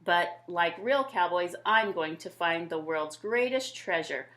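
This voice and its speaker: A woman's voice: on the low side, expressive, unhurried, a bit bright. A woman voicing an imaginative, boastful little boy from a storybook, declaring his dress-up adventures emphatically. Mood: boastful, excited. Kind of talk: children's storybook character reading.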